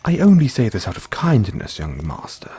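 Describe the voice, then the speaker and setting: A man with a British accent playing a formal, devoted butler who gently chides his young master in an audio roleplay. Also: medium pitch, wildly swooping pitch, breathy edge, faint music behind, full character voice. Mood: approving, formal. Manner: formal, measured, deferential. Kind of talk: scripted roleplay, intimate second-person address.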